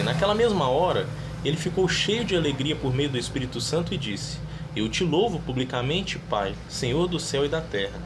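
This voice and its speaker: A man's voice: a little high, noisy background. A man giving his opinion in a casual monologue, earnestly reading Bible verses aloud. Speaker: religious video creator.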